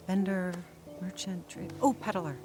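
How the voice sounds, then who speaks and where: A woman's voice: fairly low pitch, steady tone, slow, over music. A woman chatting casually in a studio, offering offhand suggestions.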